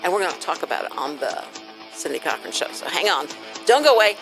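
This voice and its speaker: A woman's voice: on the low side, big pitch swings, fast talker, gravelly, over music, poor audio. A woman with an American accent hosting a chatty radio interview, rambling informally and acting out quotes as she tells stories. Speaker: radio show host.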